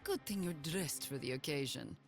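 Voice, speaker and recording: A brash female gunslinger for a video game, with a low, swinging delivery. She is cocky and teasing, with a slight foreign accent, over faint music. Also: expressive, moderate pace, gravelly. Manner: cocky, teasing, self-assured. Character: brash, daring female mercenary gunslinger, sassy thrill-seeker. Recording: music behind the voice.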